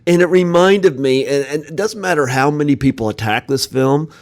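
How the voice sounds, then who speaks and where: A man's voice: on the high side, moderate pace. A man hosting a podcast interview, enthusiastic and laughing often as he sets up his questions. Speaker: podcast host. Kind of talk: casual interview.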